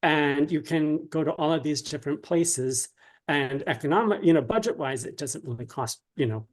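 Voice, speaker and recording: A man speaking as an interview guest, explaining his craft conversationally in a halting way, often checking with a "right?". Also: a little high.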